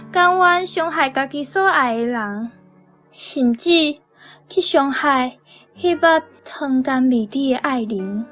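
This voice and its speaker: Slow, higher-pitched young Taiwanese woman reading. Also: fairly bright tone. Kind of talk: reading a personal essay aloud.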